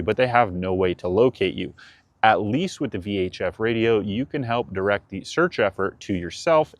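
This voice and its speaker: A man's voice: on the low side, no room sound. A man explaining practical safety advice to viewers in a matter-of-fact, conversational, instructive way. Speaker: presenter of a boating advice channel.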